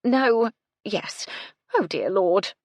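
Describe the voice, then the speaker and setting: A woman's voice: medium pitch, animated, extremely slow, a little mellow, dry recording, voice pushed up. A woman reading a fretful, homely older housekeeper, deferential and flustered. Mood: worried.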